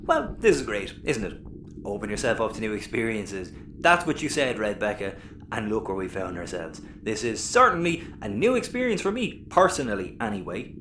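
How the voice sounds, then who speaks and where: A man's voice: fairly low pitch, sing-song, normal speed. A man playing a roguish, sardonic, foul-mouthed space captain with an Irish accent in an audio comedy. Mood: exasperated. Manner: sardonic, exasperated. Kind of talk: sci-fi audio comedy acting. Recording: roomy, noisy ambience.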